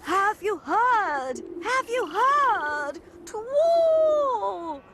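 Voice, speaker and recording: A woman's voice: extremely high pitch, sing-song, very slow, very noisy, exaggerated voice. A woman voicing a melodramatic, gossiping owl in a cartoon. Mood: alarmed. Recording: loud music under voice.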